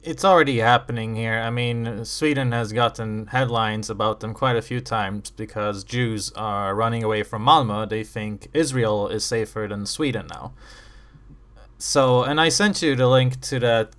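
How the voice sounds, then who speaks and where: A man from Bosnia hosting an interview, asking calm, measured questions. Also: medium pitch. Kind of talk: conversational interview.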